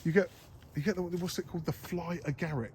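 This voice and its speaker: A man's voice: on the high side, steady tone, quick pace, a little mellow, a little hiss or hum. A man vlogging outdoors in a nature area, chatting casually and rambling, laughing often. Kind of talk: casual chat.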